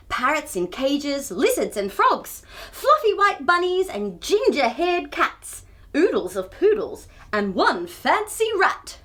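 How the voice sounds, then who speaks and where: A woman hosting a children's storytime, reading a picture book aloud in an expressive, theatrical way and chatting to young viewers. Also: high voice. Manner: expressive, theatrical, with gasps and exclamations.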